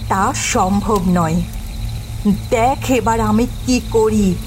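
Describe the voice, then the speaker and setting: A woman's voice: mid-range voice, drawn-out words, over music. A woman playing a menacing, enraged tantric sorceress in a dramatic audio story, with a threatening drawl. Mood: menacing, taunting.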